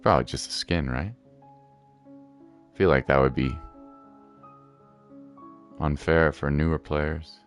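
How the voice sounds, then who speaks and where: A man's voice: very deep voice, steady tone, unhurried, long pauses. A man giving casual, chatty livestream commentary over a game in a playful way. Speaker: game streamer. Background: faint game music.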